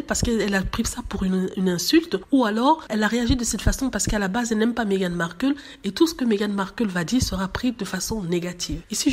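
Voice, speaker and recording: Hoarse woman with a cold, chatting. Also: a little high, darkish tone. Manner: chatty, many hesitations with "euh". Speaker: celebrity gossip vlogger. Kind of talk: casual chat to camera.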